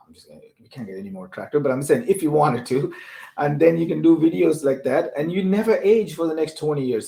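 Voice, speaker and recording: A man's voice: mid-range voice, gravelly, dark tone. A man hosting a casual discussion, explaining ideas in a loosely structured, conversational way and often checking in with the listener. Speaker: host of a tech discussion show. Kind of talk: casual discussion of technology and business use cases.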